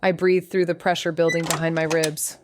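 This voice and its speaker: A woman's voice: low-pitched, flat delivery, moderate pace, background noise, natural voice. A woman playing a wry, restless young amateur investigator in a noir audio drama. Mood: wry, uneasy. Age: young adult. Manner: wry, sarcastic.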